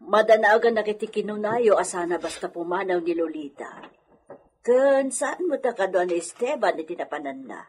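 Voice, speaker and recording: A woman's voice: medium pitch, lively intonation, normal speed, a little hiss or hum, natural voice. A woman playing a worried, suspicious mother in a radio drama, questioning insistently with an Ilocano accent.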